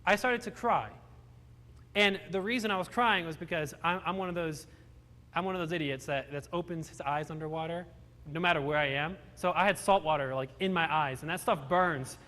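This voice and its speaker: A man's voice: a little high, brisk, bright, forceful tone, long reverb tail. A young man born in Romania, telling his life story with self-deprecating humor to a live audience, often restarting his sentences. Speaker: motivational speaker. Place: large hall with audience.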